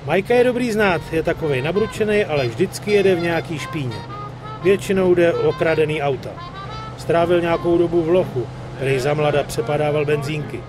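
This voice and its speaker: A brash young mobster, acted by a man, talks in a high voice full of street slang and swagger. He is cocky and streetwise, straight out of a crime video game. Music plays clearly behind him. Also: close to an everyday voice. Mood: breezy, streetwise. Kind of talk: dubbed crime game dialogue.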